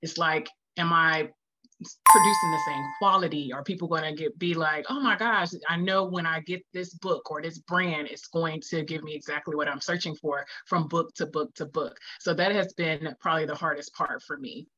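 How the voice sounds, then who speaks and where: Bright sounding lady author in an interview, candid n casual, bit of a muffled lofi recording. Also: low-pitched, normal speed. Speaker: guest, children's book author. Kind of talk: interview answers, casual chat.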